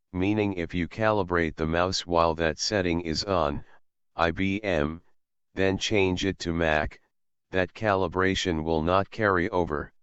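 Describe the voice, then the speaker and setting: A man calmly and methodically walking through a screen-recorded software tutorial as an instructor. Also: sits low, smooth, dry recording. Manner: calm, methodical explaining. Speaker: assistive technology instructor. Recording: slightly dull, dry voice-over.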